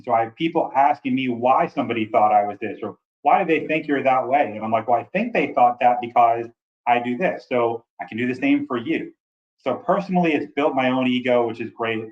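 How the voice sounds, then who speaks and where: A man in his forties with a big voice, telling his story with energetic enthusiasm in a casual interview. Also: medium pitch, dull audio. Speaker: travel nurse recruiter.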